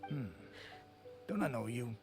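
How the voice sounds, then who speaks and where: Man playing a drowsy, aloof loner, expressive intonation. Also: mid-range voice, measured pace, soft background music, close to an everyday voice. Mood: aloof, puzzled. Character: laid-back reclusive male villager who wants only peace. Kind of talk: video game voice acting.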